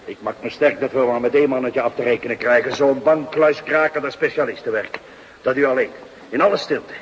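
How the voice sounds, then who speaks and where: A man plays a weary middle-aged police inspector in a radio play. He is gruff and grumbling, takes his time and mutters asides. His pitch is middling, with a faint noise on the recording. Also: fairly quick, highs cut off, lightly acted. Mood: commanding. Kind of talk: radio drama acting.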